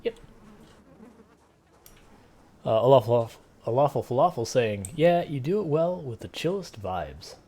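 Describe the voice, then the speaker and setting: A man streaming a game and chatting with viewers in a relaxed, rambling way, with a soft, soothing voice. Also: medium pitch, normal speed. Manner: relaxed, rambling, many fillers and restarts. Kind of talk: casual gameplay stream chat.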